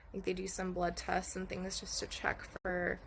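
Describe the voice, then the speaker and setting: Hoarse, gravelly young woman, halting. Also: fairly low pitch, monotone, a little hiss or hum. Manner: soft, halting, many ums, clears her throat often. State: hoarse and raspy after neck surgery. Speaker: vlogger sharing her recovery. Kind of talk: casual personal update to camera. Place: at home.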